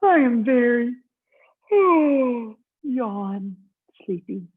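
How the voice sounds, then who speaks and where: A woman voicing a grumpy, sleepy male hotel guest in a storybook reading, speaking up high and indignant, on tinny phone-like audio. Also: lively intonation, measured pace. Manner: cranky, indignant, raised voice.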